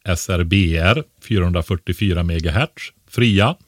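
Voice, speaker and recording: A man's voice: low voice, no room sound, clean studio sound. A man co-hosting a podcast, explaining things casually and didactically, often tagging his statements with a short questioning word.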